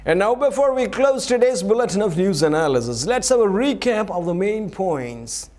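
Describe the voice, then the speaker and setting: A man's voice: high voice, very animated, normal speed, darkish tone, a little hiss or hum. A man reading a scripted news bulletin in a formal announcer manner. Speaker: news reader.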